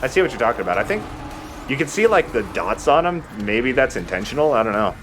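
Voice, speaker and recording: A man's voice: medium pitch, sing-song, quick pace, fairly bright tone, soft background music. A man giving casual commentary as he plays a video game, chatting and swearing lightly. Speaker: let's play host playing the game. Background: video game sound.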